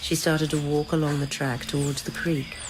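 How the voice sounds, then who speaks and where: A woman narrating a literary story in a measured, deliberate, dramatic style. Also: deep voice, little pitch movement, over music.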